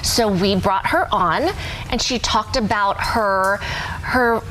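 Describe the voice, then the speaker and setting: A woman's voice: mid-range voice, slow, a bit bright, low-quality recording. Female TV host over faint noise. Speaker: TV lifestyle show host. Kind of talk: broadcast interview and studio discussion.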